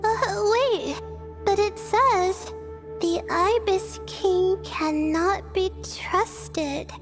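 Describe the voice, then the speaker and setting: A woman's voice: very high voice, extremely slow, exaggerated voice. A woman playing a young female scholar in a video game, polite and thoughtful, explaining things in an earnest, hesitant manner. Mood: cautious. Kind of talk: English video game voice acting. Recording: faint music behind the voice.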